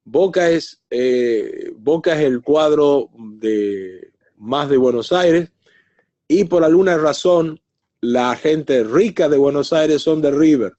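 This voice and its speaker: A man's voice: a little high, slow pace, dry recording. A man from northern Argentina with an Argentine Spanish accent, lecturing and chatting at an easy pace with many fillers. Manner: relaxed, chatty, fillers like "este". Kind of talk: online lecture Q&A.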